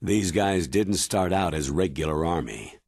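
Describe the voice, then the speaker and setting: An actor playing a stern military commander in a video game, giving a grave, authoritative briefing in a husky voice. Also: male voice, deep voice, expressive, unhurried, a little mellow, no echo, clean studio sound, exaggerated voice. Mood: serious briefing. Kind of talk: dramatic video game cutscene acting.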